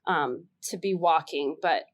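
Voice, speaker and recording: A woman casually telling a personal story as a panelist, stressing words in an animated way. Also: low-pitched, unhurried. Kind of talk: casual anecdote on a comedy game podcast.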